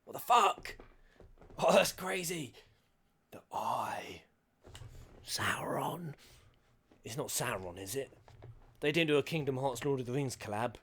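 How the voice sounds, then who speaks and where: A man hosting a reaction video, excitable and swearing, shouting at the screen and at times dropping to a whisper. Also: medium pitch, a little slow, long pauses, breathy edge. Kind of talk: live reaction commentary. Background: game cutscene audio and music.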